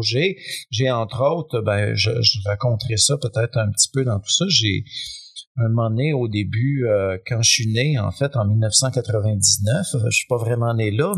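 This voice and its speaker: A man with a Québécois French accent, talking as a guest in a relaxed, rambling way with many fillers. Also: lowish voice, slightly rough. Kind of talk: casual conversation.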